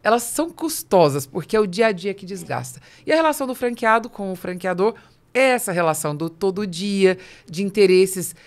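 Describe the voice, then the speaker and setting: A woman's voice: mid-range voice, animated, a little fast. A Brazilian woman interviewing guests conversationally as a podcast host, speaking into a close studio microphone. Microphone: close studio microphone on boom arm.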